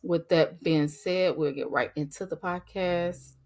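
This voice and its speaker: Woman, middle-aged, low-pitched, raspy with a cold, earnest, emphatic, faith podcast monologue. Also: fairly even intonation, unhurried, a little mellow. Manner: earnest, emphatic, talks straight to listeners. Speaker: podcast host.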